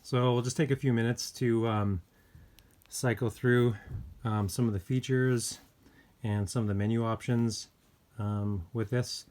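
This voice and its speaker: A man giving a casual hands-on gadget review, speaking informally and hesitantly with pauses mid-thought. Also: medium pitch, fairly even intonation, a little mellow. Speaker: product reviewer. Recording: clear background noise with clicks.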